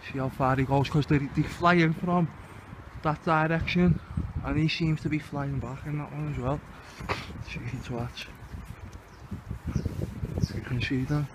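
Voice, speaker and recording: Scouse guy vlogging outside, highish voice, swearing and indignant, really loud noise all round him. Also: fairly even intonation, measured pace, pauses often. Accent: Liverpool. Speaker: video channel host. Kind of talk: casual outdoor vlog commentary. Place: outdoors under open sky. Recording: very noisy outdoor amateur recording.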